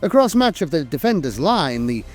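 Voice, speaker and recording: Higher-pitched man narrating lore, lively intonation. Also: moderate pace. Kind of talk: scripted documentary-style lore narration. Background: music.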